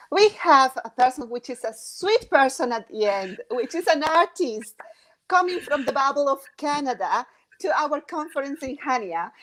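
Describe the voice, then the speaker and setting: A woman hosts an online panel with a high voice and plenty of enthusiasm. Her English phrasing is slightly unusual. She warmly welcomes her guests. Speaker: host. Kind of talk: online interview.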